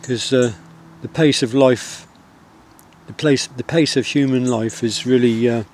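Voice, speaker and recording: A middle-aged man with a Wiltshire country accent, vlogging outdoors in the countryside and reflecting in a soft, rambling way full of pauses. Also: medium pitch, fairly even intonation, a little hiss or hum. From England. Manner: soft-spoken, rambling, with many pauses and fillers.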